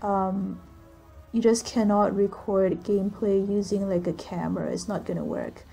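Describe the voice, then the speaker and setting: A young woman with a soft voice, answering viewer questions in a casual voiceover recorded on a computer's built-in microphone. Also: mid-range voice, normal speed, gravelly, soft background music. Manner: soft-spoken, relaxed, with frequent ums and likes. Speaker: gaming channel creator.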